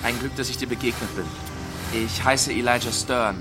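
Actor as wealthy man, polite, hesitant, chatty, mid-pitched voice, radio drama with music underneath. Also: fairly even intonation, normal speed, natural voice. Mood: polite, friendly. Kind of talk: German radio drama acting.

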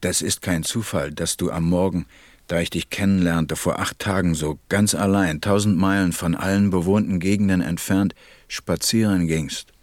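A man's voice: sits low, steady tone, moderate pace, a little mellow, no echo, clean studio sound, lightly acted. A man narrating and acting in an audio drama as a tender, melancholy stranded pilot. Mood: concerned.

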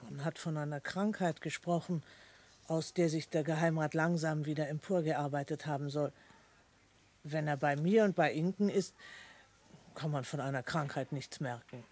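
A woman's voice: low-pitched, fairly even intonation, normal speed, darkish tone. Guarded, composed middle-aged mother. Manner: composed, formal, reserved. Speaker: actor. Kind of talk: German radio play acting.

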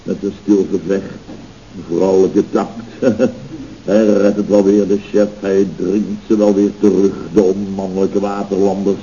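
An actor playing a self-pitying, blustering boss of a building firm, pompous and melodramatic, in an old radio comedy. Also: male voice, low-pitched, level tone, measured pace, mellow. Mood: maudlin. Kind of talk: 1970s Dutch radio comedy acting. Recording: dull, noisy old radio recording.

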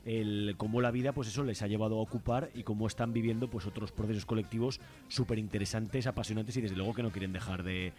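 Quick, low-voiced Spanish male radio host. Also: fairly even intonation, a little mellow. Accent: Peninsular Spanish. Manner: uses frequent checking tags. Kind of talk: conversational interviewing.

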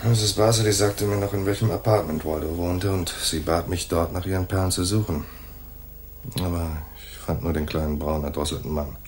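A man's voice: low voice, steady tone, normal speed, a little hiss or hum, natural voice. A man playing a laconic hardboiled private detective in a radio noir drama, dry and matter-of-fact. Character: laconic hardboiled male private detective narrating his case. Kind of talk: 1980s radio noir narration and dialogue.

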